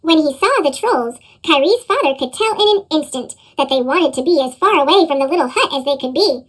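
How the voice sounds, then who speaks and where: Squeaky chipmunk-effect woman storyteller. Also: lively intonation, brisk, low-quality recording. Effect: sped-up chipmunk pitch effect. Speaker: storybook reader. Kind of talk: children's read-aloud narration.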